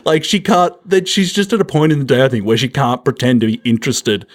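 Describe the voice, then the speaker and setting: A man's voice: mid-range voice, steady tone, fast. A middle-aged man co-hosting a podcast, talking casually about films with a dry, teasing humour. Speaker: podcast co-host. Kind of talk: casual film discussion.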